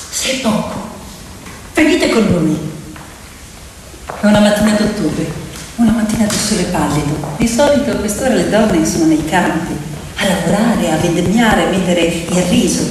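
A woman narrating a story theatrically, in short measured phrases that often repeat. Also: medium pitch, noisy background. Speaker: stage storyteller and actress. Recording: very echoey hall, distant, loud music behind.

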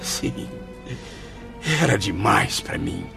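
A man's voice: deep voice, wildly swooping pitch, extremely slow, vocal fry, over music, full character voice. An actor playing the despairing, bitter spirit of a man who took his own life, declaiming in anguish in a radio drama. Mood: bitter, indignant.

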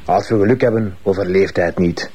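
An actor playing a cold, scheming criminal who mixes Spanish into his Dutch, husky-voiced, in a radio crime drama. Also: deep voice, fairly quick, close to an everyday voice, rough recording. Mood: cold, calculating.

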